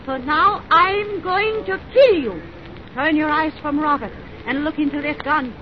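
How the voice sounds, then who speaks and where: An actress playing a vengeful, insinuating bride with deliberate theatrical delivery in an old-time radio suspense melodrama. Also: female voice. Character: cold, vengeful bride trapping her brother's tormentor. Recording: muffled, noisy vintage radio recording with rumble.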